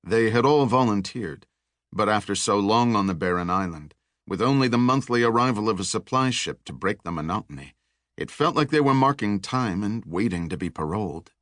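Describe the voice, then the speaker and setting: A man reading scripted thriller narration in a measured, deliberate storytelling manner. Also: on the low side, animated, fairly bright tone, no room sound, studio quality. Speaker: audiobook narrator.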